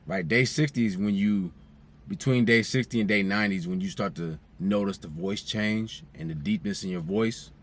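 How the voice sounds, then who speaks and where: A man's voice: on the low side, normal speed. A young man talking casually to his viewers as a vlogger, laid-back and conversational, repeating phrases for emphasis. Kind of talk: casual direct-to-camera vlog talk.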